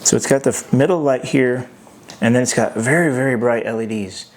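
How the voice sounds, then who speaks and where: A man giving an unscripted hands-on product review, thinking aloud in a halting way. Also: medium pitch, slight background noise.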